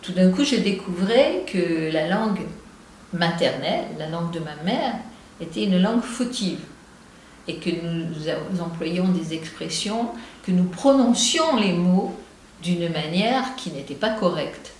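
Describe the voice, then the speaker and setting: Marseille woman musing slowly, lots of euh and restarts, super echoey room, bit of background hiss. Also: lowish voice, animated. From Marseille, southern France. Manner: thoughtful, with many hesitations and restarts. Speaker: poet and translator. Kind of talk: reflective interview talk. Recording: very echoey room with faint noise.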